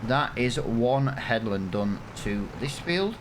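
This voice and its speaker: A man's voice: mid-range voice, expressive, slow pace, noisy background. An English man in his thirties, rambling and self-mocking as he gives casual commentary over a farming game. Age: 30s. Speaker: gaming channel host. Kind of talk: casual let's-play commentary.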